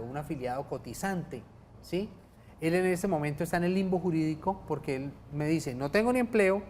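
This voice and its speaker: A man's voice: a little high, a little hiss or hum. A man with a Colombian accent, a lawyer guest on a TV studio show, explaining pension cases patiently and checking in with his listeners.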